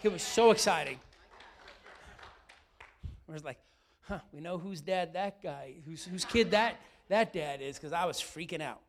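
Brief: middle-aged male pastor, high voice, slightly raspy, lively sermon that keeps asking questions. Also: unhurried, pauses often. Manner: lively, asks the room questions often.

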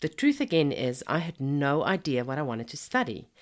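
Hoarse low-voiced woman with a cold. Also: very animated, normal speed. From Zimbabwe. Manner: chatty and encouraging, addresses the listener as a friend. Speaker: podcast host. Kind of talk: casual motivational podcast monologue.

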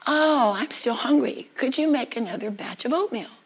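A woman's voice: high voice, lively intonation, normal speed, breathy edge, heavily acted. A woman storyteller voicing a greedy, scheming male rat from a folktale in a sly, wheedling manner.

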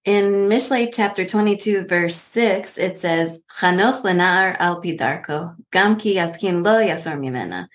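A woman interviewing a guest with prepared questions, polite, measured and affirming. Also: fairly low pitch, animated. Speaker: podcast interviewer.

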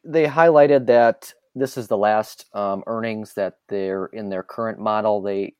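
A man with a smooth, resonant low voice speaks as a podcast guest. He explains things hesitantly, pausing and reaching for words with frequent fillers. The sound is dry and close. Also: a little mellow, dry recording. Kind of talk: casual podcast chat.

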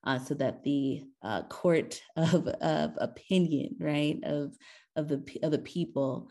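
A woman's voice: low voice, slow pace, dark tone. A woman from Los Angeles, executive director of an advocacy group, talking upbeat with lots of ums. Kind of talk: casual panel talk.

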